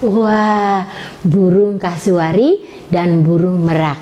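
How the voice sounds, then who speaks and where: A woman hosting a children's storytelling show, animated and coaxing, prompting a young storyteller. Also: lowish voice, slow pace, muffled recording. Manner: animated, coaxing, often laughing. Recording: faint background noise.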